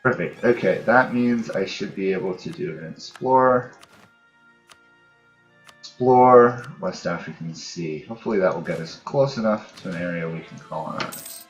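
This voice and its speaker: A man's voice: deep voice, normal speed, faint music behind, low-quality recording. A man giving casual commentary on a strategy game, thinking aloud and muttering to himself in short fragments. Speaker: gamer, host of a let's play series.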